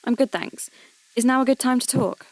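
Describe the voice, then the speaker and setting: A woman playing a polite, curious radio host who investigates mysteries for a podcast, speaking in a measured, questioning way. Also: sits high, a little mellow, no room sound, rough recording. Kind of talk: scripted narration and phone interview.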